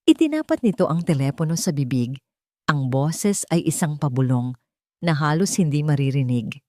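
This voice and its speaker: A Filipino woman narrates a dramatic audio story in a slightly low voice. The recording is dry and close, with very little room sound. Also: expressive, moderate pace, warm tone, no room sound.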